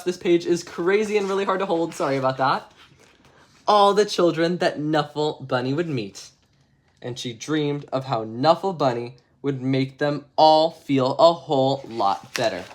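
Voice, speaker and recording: A man narrating a children's bedtime story expressively, chatty and casual with ad-libbed asides. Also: a little high. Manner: expressive, chatty, ad-libbing around the text. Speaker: storybook reader.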